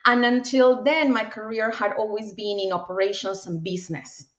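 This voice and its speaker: A woman's voice: mid-range voice, steady tone, moderate pace, echoey room, rough recording. A woman in her forties from Peru with a Peruvian Spanish accent, a guest speaking upbeat in a casual video interview and often repeating words as she goes. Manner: upbeat, repeats words while finding them. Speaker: guest, bank tech executive.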